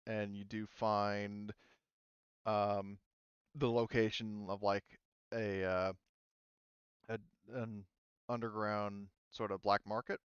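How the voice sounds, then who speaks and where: A man running a casual tabletop game as game master, explaining rules and narrating in an informal, halting way with frequent restarts. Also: fairly low pitch, level tone, smooth, no room sound, highs cut off.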